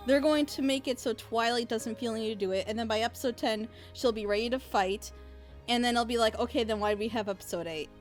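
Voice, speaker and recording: High-pitched opinionated woman over loud music. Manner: opinionated, emphatic. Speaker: reaction video host, critic. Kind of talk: casual reaction commentary.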